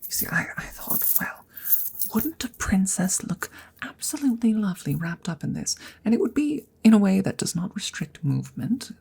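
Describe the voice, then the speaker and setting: A woman voicing an awkward, courteous female dragon in an ASMR roleplay, speaking softly and haltingly, often dropping into a breathy whisper. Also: medium pitch, sing-song, full character voice. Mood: coaxing, shy. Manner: hesitant, halting, formal and polite.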